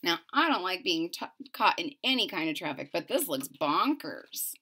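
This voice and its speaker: A woman's voice: on the low side, sing-song, moderate pace, sharp tone, slight background noise. A woman in her forties reading a children's picture book aloud expressively, stressing key words theatrically and adding casual asides. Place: small room at home.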